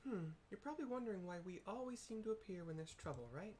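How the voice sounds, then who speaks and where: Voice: mid-range voice, expressive, fast talker, darkish tone, slight background noise, pitched above the performer's own voice, natural voice. A man voicing an upbeat, chatty young woman adventurer who is easily miffed in a fantasy game. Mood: cheerful, chatty. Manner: chatty, exclaiming.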